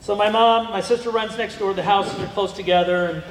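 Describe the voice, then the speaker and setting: A man's voice: sits high, fairly even intonation, fairly quick, a little hiss or hum. A middle-aged man giving a rambling museum talk about his family, full of ums and restarts. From United States. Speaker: guest speaker. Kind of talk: museum lecture with slides. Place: museum lecture room. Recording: echoey hall with long reverb.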